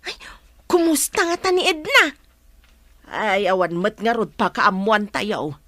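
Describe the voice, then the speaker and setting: An actress with an Ilocano accent playing a gossipy, suspicious woman fretting over family affairs in a radio drama, with exclamations and drawn-out sighs. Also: medium pitch, lively intonation, fairly bright tone, no echo. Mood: agitated, prying.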